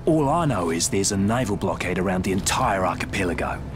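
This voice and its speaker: An actor playing a world-weary, sarcastic ex-soldier held captive in a video game. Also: male voice, low-pitched, lively intonation, a little fast. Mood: grim. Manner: sarcastic, terse. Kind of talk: video game cutscene dialogue. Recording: game noise behind the voice.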